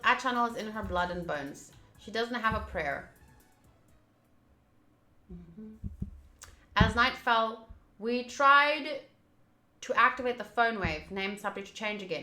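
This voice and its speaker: A woman's voice: medium pitch, a little slow, pauses often, sharp tone, reverberant, soft background music. A woman streaming a visual novel, reading the text aloud and breaking into chatty reactions as she goes. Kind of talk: playthrough commentary, reading on-screen text aloud.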